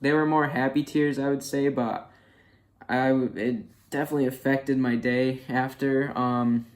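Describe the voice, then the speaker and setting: A man's voice: medium pitch, steady tone, a little slow. A twenty-one-year-old man chatting candidly in a casual personal vlog, with many fillers. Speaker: vlogger.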